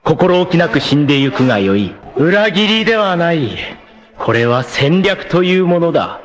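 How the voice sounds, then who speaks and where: An actor playing a vain, scheming, effete warlord villain in a cartoon, with a haughty, theatrical, word-savoring delivery. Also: male voice, on the high side, sing-song, normal speed. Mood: gloating. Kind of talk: theatrical anime villain acting.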